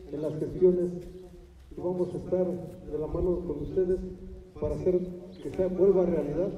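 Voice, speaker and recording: A man's voice: a little high, dull audio. A man giving formal thanks at an outdoor ceremony as a local official. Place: open courtyard outdoors. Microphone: far camera, no close mic. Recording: echoey distant outdoor recording with noise.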